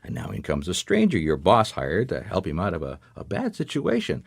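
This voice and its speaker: A man's voice: low voice, wildly swooping pitch, fairly quick, vocal fry, breathy edge, no room sound, heavily acted. An actor playing a world-weary male private detective in a noir radio drama, dry and probing. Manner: dry, probing, hesitant coaxing.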